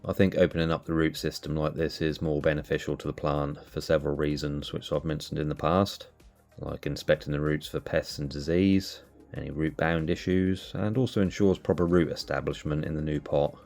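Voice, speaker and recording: A man hosting a cactus channel, sounding nasal from allergies, calmly explaining as he narrates. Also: very deep voice, a little fast, faint music behind. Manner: relaxed, explanatory. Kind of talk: casual voice-over narration.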